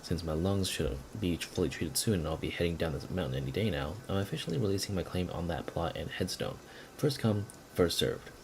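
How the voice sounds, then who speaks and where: A man's voice: low-pitched, expressive, brisk, a little mellow, a little hiss or hum. A man voicing a wry, grumbling sanatorium patient's letter in a video game, conversational and emphatic.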